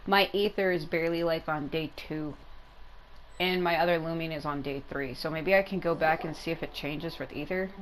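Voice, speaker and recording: A woman's voice: low-pitched, steady tone, moderate pace, slight background noise, poor audio. A woman giving casual, rambling, informal commentary as she hosts a game playthrough. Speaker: gaming video host.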